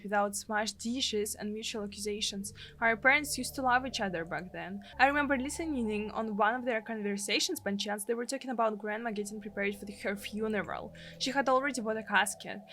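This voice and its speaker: A woman's voice: fairly high pitch, sharp tone. A woman from Russia with a Russian accent, a gaming streamer expressively reading a translated visual novel aloud. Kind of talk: let's play, reading a live translation aloud.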